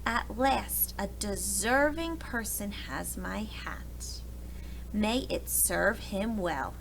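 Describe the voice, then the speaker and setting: A woman voicing a weary, kindly, fretful uncle in a storybook, speaking earnestly and deliberately. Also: mid-range voice, animated, creaky, a little hiss or hum, full character voice, poor audio. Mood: resigned. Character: weary, kindly, fretful uncle attached to his old hat.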